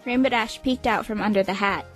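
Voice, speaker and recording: A woman's voice: medium pitch, fairly quick, a bit bright, faint music behind. A woman reading scripted story narration as the narrator of an audio drama.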